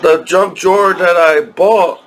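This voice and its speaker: A man in his thirties talking in a rambling personal vlog, halting and repetitive with many restarts. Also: high-pitched, steady tone, a bit bright. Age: 30s. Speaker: vlogger.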